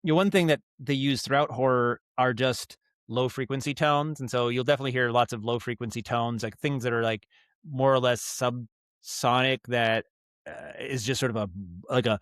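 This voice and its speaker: A man with a medium-pitched voice speaks as a podcast guest, explaining his craft. He is casual and thoughtful, often circling back and rewording as he goes. The sound is dry, with little room in it. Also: fairly even intonation, normal speed, fairly bright tone, clear, resonant tone, dry recording. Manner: rambling, thoughtful, frequent self-corrections and hedging. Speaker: podcast guest, audio storyteller and director.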